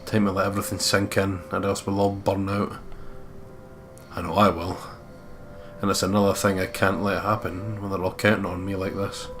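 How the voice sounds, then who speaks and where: A very low male voice delivers dramatic first-person narration, reading from a visual novel. The pitch stays in a narrow band, and loud music plays underneath. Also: little pitch movement, normal speed. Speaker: let's play host reading a visual novel aloud. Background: loud game music.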